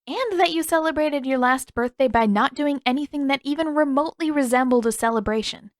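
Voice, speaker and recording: A woman's voice: sits high, fairly quick, no echo, clean studio sound, voice pushed up, natural voice. A woman voicing a perceptive teenage girl who talks in a candid, lilting, playful way. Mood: frank, gentle.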